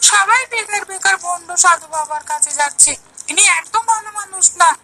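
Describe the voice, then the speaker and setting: A woman's voice: very high voice, fairly quick, pushed and bright, soft background music, heavily acted. A woman voicing a poor village boy in a cartoon story, earnest, plaintive and indignant. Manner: plaintive, complaining. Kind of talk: Bengali cartoon story dialogue.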